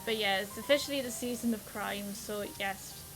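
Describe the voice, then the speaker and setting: A woman's voice: mid-range voice, normal speed, over music, low-quality recording. A woman with a Geordie accent chatting casually while streaming a game, exclamatory and swearing freely. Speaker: livestreamer. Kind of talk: casual gameplay commentary.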